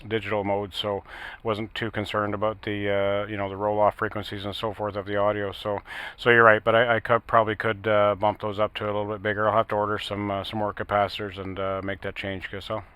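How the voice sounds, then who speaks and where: A man with a fairly low, quite even voice chats about technical matters as a ham radio operator. He speaks haltingly, with radio jargon, in a crisp, clear tone. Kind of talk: casual technical radio chat.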